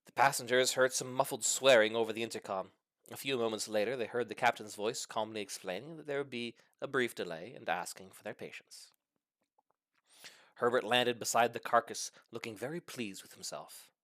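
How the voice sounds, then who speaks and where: A man's voice: mid-range voice, normal speed, a bit bright, dry recording. A man reading a comic short story aloud with mock-serious, dramatic delivery. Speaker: story reader.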